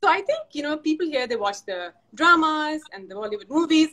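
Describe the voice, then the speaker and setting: Woman, 30s, high-ish voice; chatty guest on a video call, laughs often, restarts lines. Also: sing-song, a little fast, fairly bright tone. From Pakistan. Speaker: interview guest, fashion designer. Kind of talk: casual video call interview.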